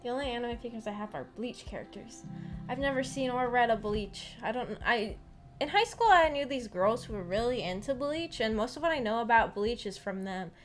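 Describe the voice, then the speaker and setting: A woman with a fairly high voice hosts a casual live stream. She rambles and thinks aloud with a giggly manner as she talks to viewers. Soft music plays behind her. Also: expressive, fairly quick. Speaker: streamer hosting a visual novel book club. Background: background music.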